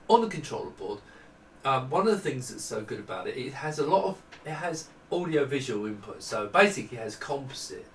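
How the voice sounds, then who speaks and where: A middle-aged man talks through hardware in an informal, unscripted way, at an average pitch. He often stops and rephrases as he explains. The sound is roomy and picked up from a distance, with a little background noise. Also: a bit bright. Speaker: presenter demonstrating hardware prototypes. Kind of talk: informal unscripted product walkthrough. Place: small indoor workroom with desk. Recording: echoey room, distant mic, faint noise.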